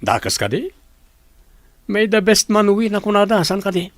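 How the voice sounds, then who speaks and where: A man's voice: high voice, big pitch swings, normal speed, dry recording. A man playing a confident, easygoing suitor in an office radio drama. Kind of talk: Ilocano radio drama acting.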